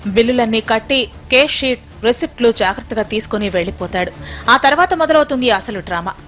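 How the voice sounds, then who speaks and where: A woman delivers a scripted news report as voice-over. Her pitch sits a little high and she moves very quickly, with emphatic, dramatic phrasing. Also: a bit bright.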